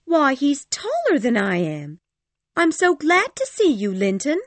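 A man voicing an eager, innocent, headstrong teenage girl in storybook dialogue, bright and insistent. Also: extremely high pitch, wildly swooping pitch, unhurried, no room sound, pitched above the performer's own voice, exaggerated voice. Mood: delighted.

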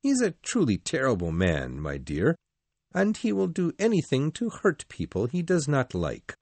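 A man voicing a grave, protective middle-aged father in storybook dialogue, quiet, earnest and warning. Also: medium pitch, wildly swooping pitch, normal speed, no room sound, pitched above the performer's own voice.

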